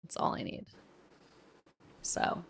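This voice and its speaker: A woman co-hosting a podcast, chatting in an informal, slangy way and thinking out loud as she talks. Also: slow pace. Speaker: podcast co-host. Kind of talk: casual podcast chat.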